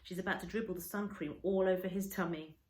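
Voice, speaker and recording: Female storyteller, slightly low voice, dramatic schoolteacher read-aloud for children, recorded in a noticeably echoey room. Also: fairly even intonation, quick pace, a little mellow.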